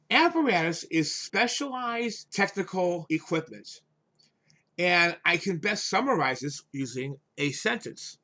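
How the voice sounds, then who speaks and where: A man giving an instructional vocabulary lesson as a teacher, explaining things in a deliberate, emphatic way. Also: on the high side, sharp tone. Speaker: English teacher, host.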